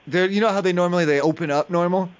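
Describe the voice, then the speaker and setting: Guy prank calling, kinda high voice, acts confused and keeps asking stuff, muffled lo-fi sound. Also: fast, clean tone. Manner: feigned confusion, rambling, persistent questioning. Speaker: prank caller. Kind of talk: prank phone call. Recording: muffled lo-fi call recording.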